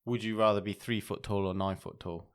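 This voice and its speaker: A man's voice: on the low side, fairly even intonation, fairly quick, smooth. A man co-hosts a casual podcast in a playful, teasing mood. He jokes around and laughs easily, keeping the banter loose and friendly. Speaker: podcast co-host. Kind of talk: casual chat.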